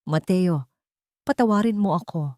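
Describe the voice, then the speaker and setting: A solemn, proud father weighed down by regret , played by a woman narrator. The voice is low and measured, recorded dry for an audio drama. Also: warm tone, dry recording, close to an everyday voice. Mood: remorseful, hushed. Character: remorseful, proud wealthy father, resolute man.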